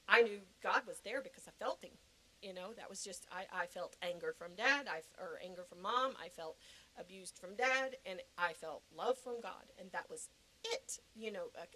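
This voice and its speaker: A middle-aged woman shares a personal testimony in a conversational storytelling way. Her voice sits at an average pitch for a woman. She is earnest and emphatic, often repeating phrases to drive them home. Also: fairly bright tone, poor audio. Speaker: podcast guest giving personal testimony.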